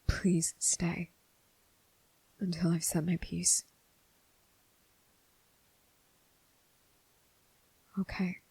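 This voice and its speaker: A woman voicing an anxious, guilt-ridden young male vampire in intimate ASMR roleplay, breathy and husky, halting and earnest. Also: on the low side, a little mellow, no echo, heavily acted, poor audio. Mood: hushed, grave. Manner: halting, hesitant, earnest, pleading.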